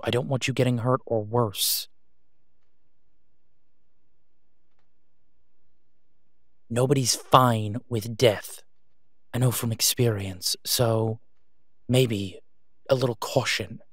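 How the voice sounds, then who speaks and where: Male actor as protective young male hero, medium pitch, earnest, exasperated, dry close sound, audio roleplay. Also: fairly even intonation, unhurried, dry recording, lightly acted. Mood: exasperated concern. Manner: earnest, urging.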